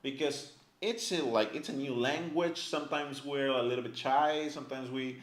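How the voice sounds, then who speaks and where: A man's voice: medium pitch, moderate pace. A man teaching a language in a casual, chatty way to camera, filling his talk with "right?" and "like". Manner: animated, chatty, many fillers like "right?" and "like". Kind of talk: casual explanatory lesson to camera. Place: indoor room, filmed by a camera operator. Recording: echoey room.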